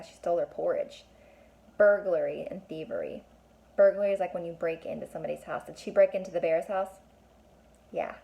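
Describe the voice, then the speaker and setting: A woman's voice: on the low side, gravelly, warm tone. A woman reading a children's book aloud as a teacher would, stopping to explain and put questions to young listeners.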